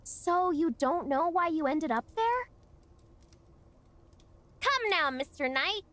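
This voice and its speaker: A woman's voice: very high-pitched, lively intonation, slow pace, fairly bright tone, heavily acted. A woman voicing a sweet, earnest young girl in a video game, polite and a little hesitant. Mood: concerned, coaxing. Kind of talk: video game character acting.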